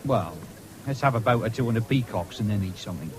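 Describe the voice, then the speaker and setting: A man's voice: lowish voice, expressive, fast talker, a crowd in the background, lightly acted. An actor playing an easygoing, free-spending young countryman with a rural English accent in a 1970s radio drama, teasing and hesitant in dialect. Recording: noisy old radio broadcast, fairground din.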